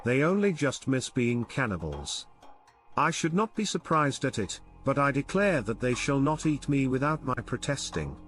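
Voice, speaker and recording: A man's voice: medium pitch, sing-song, normal speed, a bit bright, faint music behind. A man voicing an angry, defiant Canadian harpooner, a big blustering man, in an audiobook reading. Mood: indignant. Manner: indignant, defiant exclamations.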